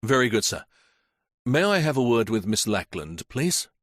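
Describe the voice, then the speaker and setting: A man voicing a calm, methodical police inspector who questions in a measured, polite way. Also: mid-range voice, no echo, studio quality.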